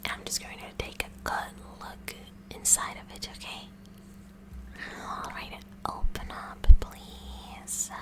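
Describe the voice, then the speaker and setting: Woman playing a whispering kooky science club girl. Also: natural voice. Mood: focused, curious. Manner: inquisitive, hushed, slow and deliberate examining. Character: kooky, eagerly curious female high school science club president. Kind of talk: whispered ASMR roleplay.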